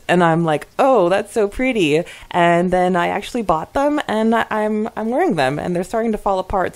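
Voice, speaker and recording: Casting: female podcast host, pitch a bit low, informal and enthusiastic, prone to giggling. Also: a little mellow. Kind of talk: casual chat.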